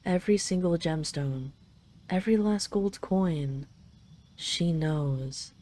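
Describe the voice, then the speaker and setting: A woman's voice: fairly low pitch, takes it slowly, warm tone. A woman playing a possessive, doting dragon queen in an intimate roleplay audio, speaking in a teasing, tender, lingering way. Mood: tender, confiding.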